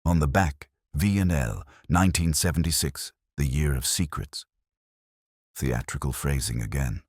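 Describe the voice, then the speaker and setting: A man voicing a deep, calm police inspector. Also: breathy edge, no room sound, studio quality, full character voice. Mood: musing. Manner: gentle, measured questioning. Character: calm, courteous, persistent male police inspector.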